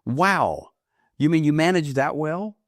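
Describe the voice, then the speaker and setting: A man in his fifties from the United States, answering interview questions earnestly, often rising in pitch for emphasis. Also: animated, unhurried, dry recording, studio quality. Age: 50s. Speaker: guest, ministry leader. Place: studio.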